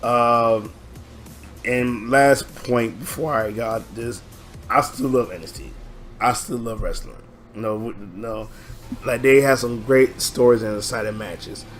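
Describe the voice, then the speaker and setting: Hoarse guy rambling on his channel, mid-pitch, stops and restarts a lot, faint music behind. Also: fairly even intonation. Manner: rambling, halting, many restarts and fillers. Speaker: channel host. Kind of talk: casual reaction video.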